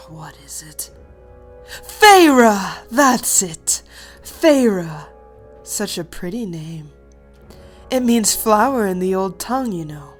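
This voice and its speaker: Cartoon dragon queen, female actor: deliberate, mock-polite menace, smug and regal, unhurried delivery over soft music. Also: mid-range voice. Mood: mock-friendly. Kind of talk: animated fantasy drama acting.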